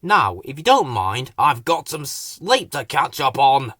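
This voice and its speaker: A man's voice: mid-range voice, big pitch swings, a little slow, pushed and bright, slightly rough, dry recording, studio quality, heavily acted. A man voicing a grumpy, sleepy storybook creature, curt and indignant at being disturbed. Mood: put out. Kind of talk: children's storybook character voice.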